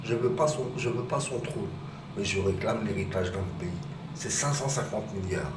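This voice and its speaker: A man filming himself on a phone outdoors near his home, rambling and agitated, rising to shouted accusations. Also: fairly low pitch, moderate pace. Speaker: vlogger. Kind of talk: rambling phone-filmed rant. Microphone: phone camera. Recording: roomy distant outdoor phone recording with loud ambient noise.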